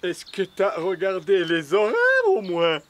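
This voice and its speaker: A woman's voice: on the low side, fairly even intonation, a little slow, a little hiss or hum, natural voice. A woman voicing a teasing, casual young animal character in a children's cartoon. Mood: casual, doubtful. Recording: music under the voice.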